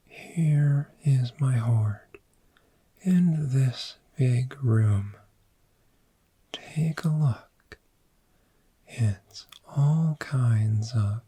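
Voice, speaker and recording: Man voicing a friendly cave dragon, breathy whisper, creaky, soothing ASMR roleplay, close dry sound. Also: mid-range voice, mellow, no room sound, full character voice. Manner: slow, soothing, unhurried with long pauses. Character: friendly, gentle cave dragon fond of sleep. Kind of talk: soft-spoken ASMR roleplay.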